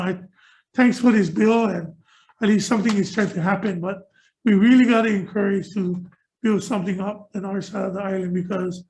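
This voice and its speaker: A man's voice: high voice, a little mellow, low-quality recording. A man from Hawaii speaking as a state senator at a hearing, earnest and conversational, with informal phrasing. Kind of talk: legislative hearing comment. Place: hearing room.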